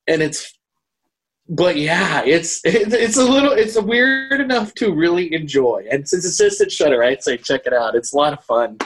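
A woman's voice: on the low side, lively intonation, normal speed. A woman co-hosting a podcast, giving a casual film review in conversation. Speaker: podcast co-host.

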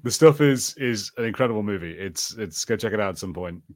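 A man's voice: fairly low pitch, lively intonation, fairly quick, darkish tone. A man co-hosting a podcast, chatting enthusiastically and nostalgically in casual watch-party commentary. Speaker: podcast co-host.

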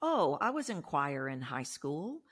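A woman from Texas with a Texan accent, a voice coach explaining her work in a podcast interview in an emphatic, animated way. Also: low voice, measured pace, slightly rough. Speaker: voice coach guest.

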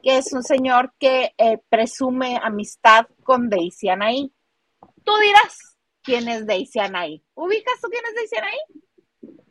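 A woman's voice: high-pitched, expressive, unhurried, a bit bright, no echo. A woman with a Mexican accent co-hosting a casual celebrity gossip live stream, playful and teasing as she talks and reads out viewer comments.

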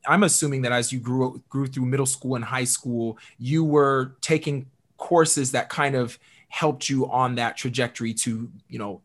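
Upbeat animated male podcast host. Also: mid-range voice. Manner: animated, upbeat, many fillers. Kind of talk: conversational interview.

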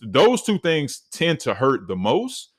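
A man in his thirties with a deep voice, hosting a solo podcast and talking earnestly, with many fillers and restarts. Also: huge pitch swings, slow. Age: 30s. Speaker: podcast host.

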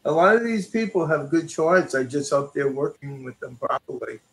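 A middle-aged man from New York and Connecticut, a psychic medium chatting softly and keeping his voice low on a live online show. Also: expressive, poor audio. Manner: soft-spoken, deliberately keeps voice low. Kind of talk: live online chat and readings.

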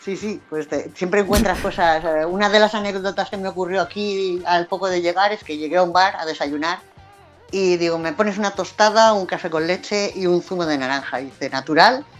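A woman from Madrid speaks in a slightly low voice, her Madrid Spanish accent softened by a Valencian lilt. She chats casually as a podcast guest, quick to laugh at herself. Faint music plays underneath. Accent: Madrid Spanish, with some Valencian influence. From Madrid, Spain. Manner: chatty, laughs often, self-deprecating. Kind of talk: casual chat.

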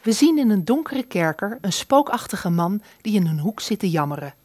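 A woman's voice: medium pitch, big pitch swings, normal speed, a little mellow, no echo. Woman narrating a radio play, dry sound.

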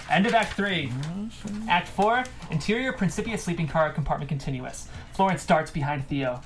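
Narrator reading script directions, medium pitch. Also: slight background noise. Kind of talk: scripted narration, table read.

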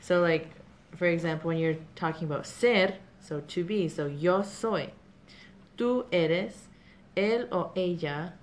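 A woman's voice: lowish voice, measured pace, a little hiss or hum. A woman tutoring casually, explaining patiently step by step.

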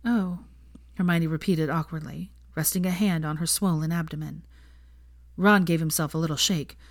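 A woman reading fiction aloud as an audiobook narrator, measured and gentle in her storytelling. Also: deep voice, fairly even intonation, dry recording, studio quality. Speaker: audiobook reader of fan fiction.

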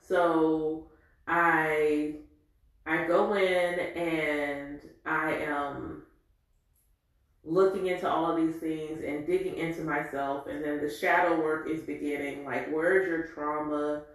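A woman in her forties telling a candid, reflective personal story in an animated way. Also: low voice, slow pace. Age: 40s. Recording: very echoey room.

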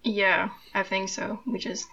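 A seventeen-year-old woman from France, speaking in an earnest, measured way as a guest in an interview. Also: medium pitch, level tone, bright, forceful tone, husky. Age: teens. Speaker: podcast co-host, guest. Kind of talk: casual interview chat. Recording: dull lo-fi mic.

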